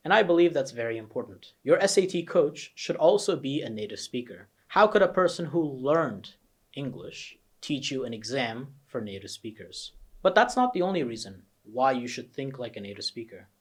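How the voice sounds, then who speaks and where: A man's voice: medium pitch, big pitch swings, normal speed, slightly rough. A young man with an American accent, a test prep coach persuasively walking students through his course. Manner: earnest, persuasive, emphatic. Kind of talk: promotional course walkthrough.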